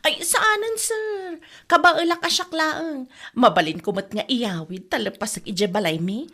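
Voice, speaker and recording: A woman's voice: high-pitched, a little slow, bright, forceful tone, exaggerated voice. A woman playing a spirited younger working woman, polite but firm, in an Ilocano radio comedy drama. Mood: polite, eager.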